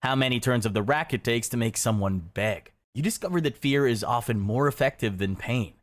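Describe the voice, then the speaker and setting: A man reading scripted second-person narration in a measured, matter-of-fact way. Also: mid-range voice.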